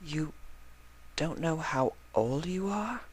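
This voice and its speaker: A tender, refined storybook mare played by a man in falsetto. The voice is lifted high, soft and breathy, with a gentle, hesitant way of speaking. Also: expressive, slow pace, no echo, full character voice. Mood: concerned. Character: shy, sheltered, shocked female pony, refined and tender.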